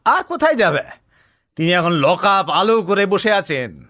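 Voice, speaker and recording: An actor playing a talkative, smug police officer in a Bengali audio story, speaking colloquially with scoffing rhetorical tags. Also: male voice, sits high, big pitch swings, a little slow, bright, forceful tone, exaggerated voice. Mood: mocking. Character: Anishchay, talkative cocksure male police officer, smug and sardonic.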